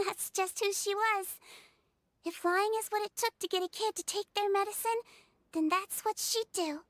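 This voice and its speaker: A woman's voice: very high-pitched, fairly even intonation, moderate pace, fairly bright tone, dry recording, clean studio sound. A woman voicing a small, childlike, earnest female character in a video game. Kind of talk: video game story voice acting.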